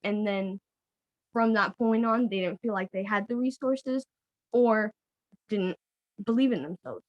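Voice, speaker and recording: A woman's voice: medium pitch, a little slow, no room sound, muffled recording. Heartfelt young woman, dry sound. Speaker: panelist. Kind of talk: panel discussion.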